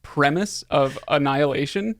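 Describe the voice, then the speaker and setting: A man's voice: fairly high pitch, normal speed, dry recording, studio quality. A man hosting a podcast, talking casually in a wry, rambling way about film. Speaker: podcast host.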